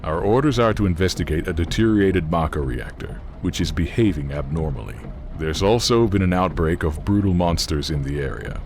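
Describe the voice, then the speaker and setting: An actor playing a cold, aloof elite soldier commander in a video game, speaking in a measured, detached way. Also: male voice, deep voice, animated, darkish tone, a little hiss or hum. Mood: cool, detached.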